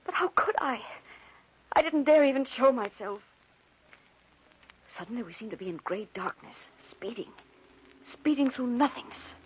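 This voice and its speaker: An actress playing an anxious young bride in a 1940s radio drama, emotional and breathless, with a husky, breathy voice. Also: female voice, on the high side, expressive, normal speed, long pauses. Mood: fearful longing. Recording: muffled old radio recording.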